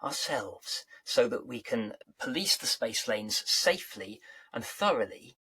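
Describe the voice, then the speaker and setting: A man playing a measured, evasive space colony councillor in a role-play game, speaking formally like a politician. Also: mid-range voice, expressive, pushed and bright, breathy edge, full character voice. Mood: guarded, formal.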